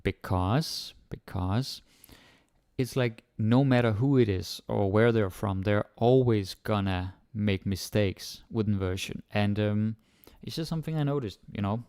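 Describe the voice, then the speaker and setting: A man's voice: fairly low pitch, a little slow, a little mellow, clean tone, no room sound, studio quality. A man teaching Danish in a chatty, informal way, with lots of "like" and "you know" fillers, often acting out what learners think. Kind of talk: casual spoken lesson to camera.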